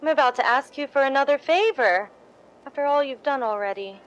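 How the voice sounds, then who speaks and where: A woman's voice: sits high, animated, brisk, lo-fi sound. A woman playing a meek, grateful, ingratiating young theatre hopeful in 1950s radio drama style, hesitant and earnest. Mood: humble, eager.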